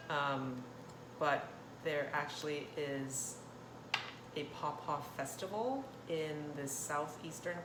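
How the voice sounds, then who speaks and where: A woman from Thailand hosting a casual cooking demonstration, chatting in a rambling way and often interrupting herself. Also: low voice. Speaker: cooking channel host. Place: indoor kitchen. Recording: roomy echo, clear kitchen noise, clattering dishes. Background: cutlery and dish clatter.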